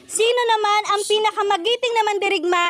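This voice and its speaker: A woman's voice: very high voice, a little fast, a bit bright. A woman with a Filipino accent hosting a quiz, reading out trivia questions in set formal wording. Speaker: quiz host.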